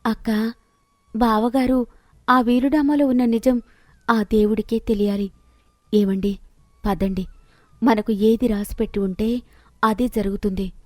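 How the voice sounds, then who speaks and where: Woman voicing a meek, gentle village wife. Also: mid-range voice, steady tone, measured pace, dry recording, studio quality, close to an everyday voice. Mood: resigned, sad. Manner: gentle, resigned, submissive. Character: meek, devoted, long-suffering young wife.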